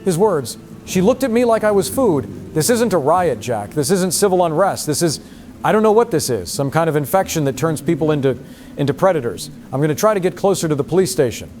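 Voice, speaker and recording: A man playing a shaken field reporter giving a halting, alarmed live report. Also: fairly high pitch, big pitch swings, darkish tone, a little hiss or hum. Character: shaken male field reporter witnessing horror. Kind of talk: dramatized live news report.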